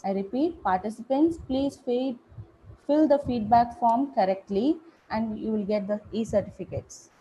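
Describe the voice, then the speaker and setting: A woman's voice: a little high, normal speed, mellow, breathy edge, low-quality recording. A woman from India moderating a webinar, polite and formal, addressing the guest as sir. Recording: faint background noise.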